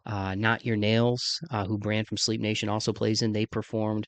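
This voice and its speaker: A man's voice: lowish voice, level tone, normal speed, no room sound. A man in his mid-thirties with a congested voice, chatting casually and slangily as the host of a podcast. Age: mid-30s. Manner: laid-back slangy talk, says "man" often. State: congested from sinus trouble, voice deeper than usual.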